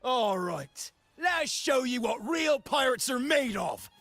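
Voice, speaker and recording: High, gravelly, theatrical pirate henchman. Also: big pitch swings, a bit bright, dry recording, soft background music, heavily acted. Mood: menacing. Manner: dramatic, drawn-out, swooping delivery. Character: boisterous theatrical male pirate henchman, boastful storyteller. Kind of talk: video game character acting.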